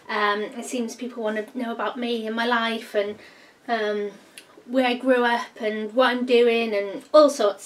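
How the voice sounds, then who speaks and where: A woman's voice: a little high, recorded from a distance. A woman, chatting in a rambling vlog full of ums. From North Wales. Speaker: vlogger. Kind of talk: casual personal Q&A vlog.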